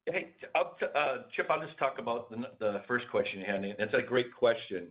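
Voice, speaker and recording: A man's voice: medium pitch, animated, a little fast. A man answering questions as a company executive, casually, with repeated words and everyday comparisons. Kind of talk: answering questions on a conference call. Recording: dull lo-fi call audio.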